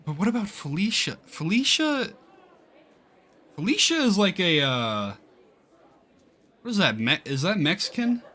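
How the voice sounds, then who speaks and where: A man hosting a gaming video, giving casual let's-play commentary in a rambling, halting way and often interrupting himself. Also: high-pitched, big pitch swings, bright, forceful tone. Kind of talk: casual let's-play commentary, reading game text aloud.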